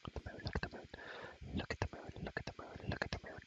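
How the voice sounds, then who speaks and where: A young man whispering rambling ASMR commentary on a game in a breathy voice, repeating phrases over and over with playful, slangy asides. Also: rapid-fire, dull audio. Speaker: ASMR gaming creator.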